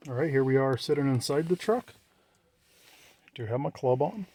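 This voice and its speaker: A man talks through his handiwork like a casual vlogger. His delivery is plainspoken and matter-of-fact, at a medium pitch. He records himself on a handheld camera around a parked truck. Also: normal speed, dark tone, no echo. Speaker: vlogger showing a vehicle radio installation. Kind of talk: casual hands-on walkthrough narration.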